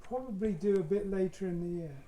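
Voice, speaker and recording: Soft-spoken hoarse man, mellow tone. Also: high voice, brisk. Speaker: artist demonstrating painting. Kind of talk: casual live painting demo with chat.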